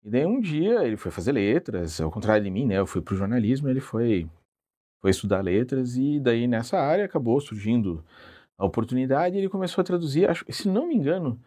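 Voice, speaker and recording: A man's voice: mid-range voice, very animated, a little fast, dark tone. A man, a translator telling his story in an interview, hesitant and self-deprecating with many restarts. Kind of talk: relaxed conversational storytelling.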